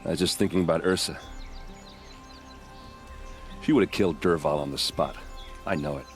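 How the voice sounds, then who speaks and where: An actor voicing a blunt, wry warrior captain, loyal and grieving, in a cinematic video game. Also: male voice, extremely low pitch, normal speed, soft background music. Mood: reflective. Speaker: captain of the guard.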